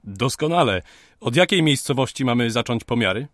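An actor playing a polite, eager 19th-century surveyor, speaking formally and courteously in a radio drama. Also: male voice, mid-range voice, expressive, moderate pace, fairly bright tone, no echo, natural voice. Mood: keen, courteous.

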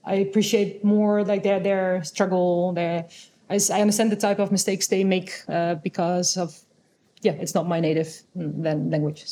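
Dutch woman with a mellow voice. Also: on the low side, flat delivery, moderate pace, slight background noise. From Netherlands. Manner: thoughtful, with frequent restarts and fillers. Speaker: guest, international teacher. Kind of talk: casual interview conversation.

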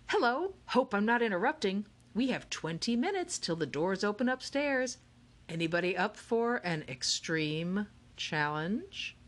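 A woman's voice: medium pitch, sing-song, measured pace, voice pushed up. A woman voicing an eccentric, playful grown man who runs a game, speaking theatrically in an audiobook. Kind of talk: audiobook character reading.

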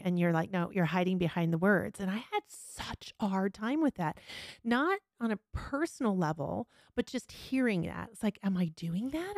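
A woman's voice: mid-range voice, a little mellow, dry recording, studio quality. A woman speaking candidly and earnestly in a conversational podcast interview, with emphatic personal storytelling as host.

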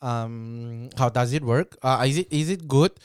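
A man voicing a chatty male guest named Edward with a put-on Thai-Filipino accent, talking higher and more sheepishly than his own voice. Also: a little slow, clean tone, no echo, clean studio sound, lightly acted.

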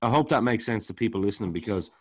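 A man with a baritone voice, talking casually as a podcast co-host and rambling, often repeating words while he searches for them. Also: lively intonation, racing, breathy edge. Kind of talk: casual music review chat.

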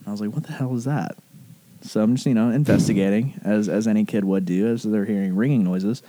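A man from the rural American South telling a personal story casually, in animated, rambling speech full of profane slang. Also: on the low side, a little fast, rough recording. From southern United States, rural Alabama.

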